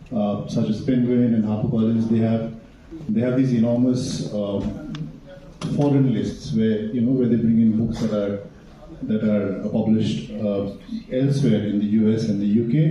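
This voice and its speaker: A man's voice: mid-range voice, dark tone, a little hiss or hum. A man whose mother tongue is Nepali, speaking on a panel with many hesitations and restarts. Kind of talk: panel discussion. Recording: echoey hall from far off, dull sound.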